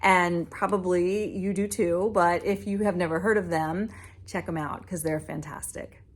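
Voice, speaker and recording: A woman's voice: on the low side, steady tone, moderate pace, soft background music. A woman hosting a casual book vlog, chatting informally and rambling as she interrupts herself.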